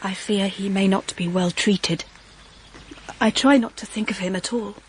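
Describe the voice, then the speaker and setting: An actress playing a reserved, earnest young governess with a prim, guarded manner in a period audio drama. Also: female voice, medium pitch, fairly even intonation, unhurried, lightly acted, poor audio. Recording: clear rain noise behind the voice.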